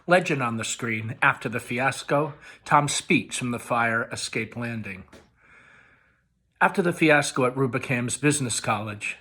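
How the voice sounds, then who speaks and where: A man's voice: mid-range voice, a bit bright. A man presenting a read-along, narrating a play aloud with informal asides. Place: at home.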